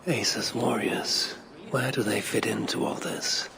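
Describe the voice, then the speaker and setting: An actor playing a shrewd, gruff Norse god in a game cutscene, speaking in a deliberate, sardonic, investigative manner. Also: male voice, lowish voice, steady tone, a crowd in the background, natural voice. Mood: brooding. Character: shrewd Norse god searching for his son, gruff and resolute. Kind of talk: dramatic game cutscene acting.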